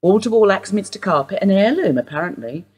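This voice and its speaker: A woman's voice: mid-range voice, expressive, a little fast, a little mellow, breathy edge. A woman acting a wistful widowed housewife questioned by police in a radio play, rambling through memories. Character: widowed English housewife, Mrs Steele, wistful suspect.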